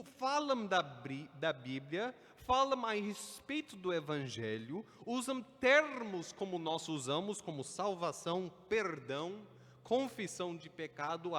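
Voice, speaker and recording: A man's voice: high-pitched, fairly bright tone. A man preaching a Sunday evening sermon, building to emphatic stresses. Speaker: pastor. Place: church sanctuary. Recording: church hall with long reverb.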